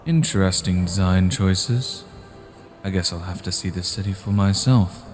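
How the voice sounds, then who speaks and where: A man playing a cold, scheming vampire clan leader in a fantasy audio drama, speaking in a deliberate, formal and grave way. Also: low-pitched, a little mellow, soft background music, close to an everyday voice.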